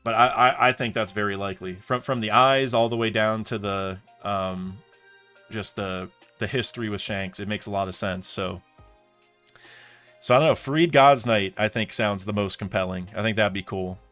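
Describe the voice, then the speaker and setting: A man's voice: fairly low pitch, steady tone, normal speed, sharp tone, faint music behind. A young man chatting casually on a live stream, thinking aloud in rambling sentences full of restarts and fillers. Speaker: streamer reacting to a comic chapter.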